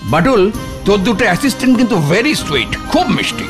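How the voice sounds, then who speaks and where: Swooping, effusive cartoon gentleman. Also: high voice, big pitch swings, fairly quick, over music. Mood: hospitable. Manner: effusive, repeats himself, mixes in English phrases. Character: jovial, effusive rich friend and generous host. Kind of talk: children's cartoon voice acting.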